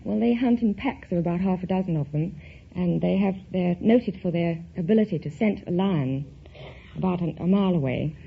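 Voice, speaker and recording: A woman's voice: fairly low pitch, moderate pace, vocal fry. Genteel woman contestant on tinny old radio. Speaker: quiz show contestant. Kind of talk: polite conversational interview. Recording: thin, phone-like old radio recording with hiss.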